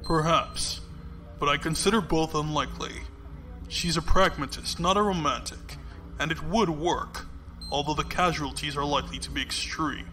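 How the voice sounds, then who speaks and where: A man playing a composed, shrewd space commodore in an audio drama, measured and probing with calm authority. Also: fairly high pitch, soft background music. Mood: probing, instructive.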